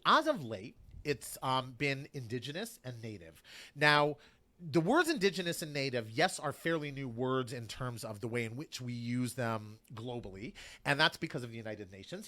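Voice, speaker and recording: A man speaks as a guest on a radio talk show, with an emphatic, passionate delivery. His pitch leaps and falls, and he repeats phrases to drive home each point. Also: animated, moderate pace, bright, forceful tone.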